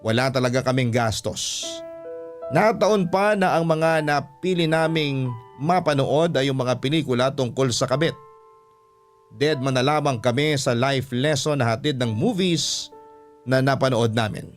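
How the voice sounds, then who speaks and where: A man's voice: medium pitch, measured pace. Filipino guy telling a radio drama story, scripted narration, bit of music under him.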